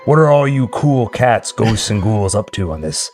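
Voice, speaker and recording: A man playing a smooth, folksy late-night radio DJ with a slow, theatrical announcer delivery. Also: lowish voice, big pitch swings, darkish tone, faint music behind, voice pushed down. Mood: spooky showmanship. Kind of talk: radio DJ patter.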